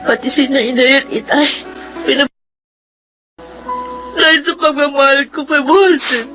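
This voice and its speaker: A woman's voice: high-pitched, unhurried, a bit bright, faint music behind, low-quality recording. An actress playing a remorseful, grieving young woman in a Filipino radio drama, with an anguished, pleading delivery. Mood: tearful regret.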